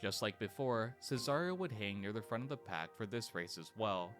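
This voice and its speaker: A man delivers scripted documentary narration in a steady storytelling manner. His voice sits low, and his intonation rises and falls a lot. Soft music plays behind him. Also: normal speed.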